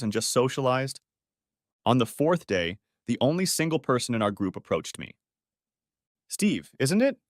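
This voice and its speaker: A man's voice: mid-range voice, no room sound, clean studio sound. A man narrating a first-person story from a script.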